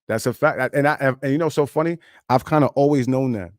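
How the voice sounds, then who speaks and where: A man in his thirties talks straight into his streaming mic, loose and rambling as he shares his thoughts with viewers. His speaking pitch sits in a plain middle range. The sound is dry and close, with no room around it. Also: quick pace, no room sound. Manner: rambling, loose and candid, peppered with "you know what I'm saying". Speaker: independent rap artist streaming live. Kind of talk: casual live-stream monologue.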